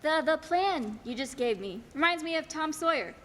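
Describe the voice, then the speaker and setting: A woman's voice: high voice, animated, normal speed, fairly bright tone. A teenage woman acting a whimsical, literal-minded guardian angel in a radio play. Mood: gentle, chirpy. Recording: noisy echoey hall stage recording.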